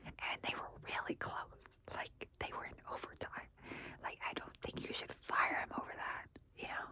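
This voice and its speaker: A woman's voice: normal speed, bright, forceful tone. A woman whispering casually through a long chatty stretch, full of fillers and strong opinions as a football fan.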